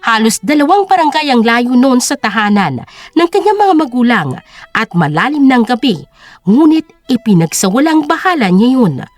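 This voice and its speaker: A woman's voice: on the high side, big pitch swings, moderate pace, no room sound. A woman with a Filipino accent narrating a scripted story in a dramatic style.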